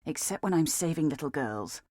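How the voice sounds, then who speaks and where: A woman's voice: low voice, animated, moderate pace, a little mellow, no echo. An actress playing a stern, sardonic female assassin in a video game, with a dry, threatening delivery.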